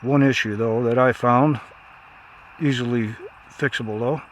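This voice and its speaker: A man's voice: mid-range voice, fairly even intonation, measured pace, slight background noise. A man giving a casual, unscripted product review in a plainspoken, folksy way.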